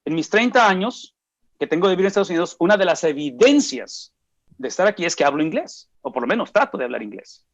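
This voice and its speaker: A man's voice: sits high, sing-song, fast. Emphatic Mexican man teaching scripture. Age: 40s. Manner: emphatic, asks himself rhetorical questions and answers them. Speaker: Bible teacher. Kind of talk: Bible study teaching to a group. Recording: dull lo-fi recording.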